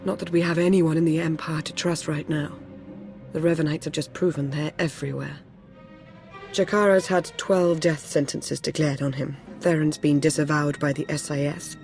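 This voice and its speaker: A composed, resolute female agent in a game cutscene. Her delivery is formal, measured and serious, over clearly audible music. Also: low voice, steady tone, darkish tone. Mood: grave. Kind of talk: video game cutscene acting.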